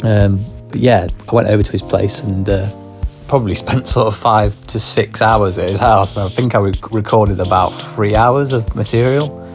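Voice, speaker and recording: A man's voice: on the low side, moderate pace, hardly any pauses. A man hosting a podcast, giving a casual, hesitant introduction with lots of ums and uhs. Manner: hesitant, filler-heavy. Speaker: podcast host. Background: faint guitar music.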